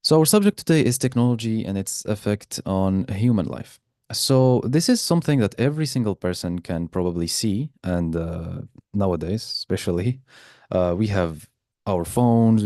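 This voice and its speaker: A man's voice: on the low side, mellow, no echo, studio quality. A man giving an informal presentation as guest speaker in an online class. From Algeria. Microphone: video call.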